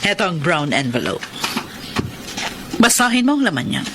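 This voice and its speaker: A woman's voice: fairly low pitch, very animated, moderate pace. A woman playing a stern, protective middle-aged aunt in a radio drama, grave and insistent, with a Filipino accent. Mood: stern, accusing. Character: Tita Lia, stern protective meddling aunt. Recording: noisy background, some music.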